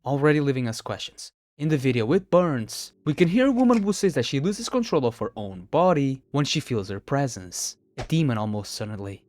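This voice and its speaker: A man's voice: mid-range voice, very animated, a little fast, few pauses, darkish tone, dry recording. A man reading scripted narration, retelling stories as the narrator of a video. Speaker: narrator of a horror video countdown. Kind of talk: scripted narration, storytelling recap.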